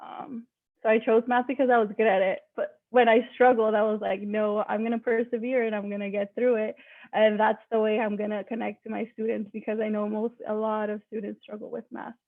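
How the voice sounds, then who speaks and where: Gravelly, flat woman over a tinny line. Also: medium pitch, a little fast, dry recording. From Mexico. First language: Spanish. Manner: casual, many likes and ums. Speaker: math teacher. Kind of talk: panel discussion. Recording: tinny dry phone-like line.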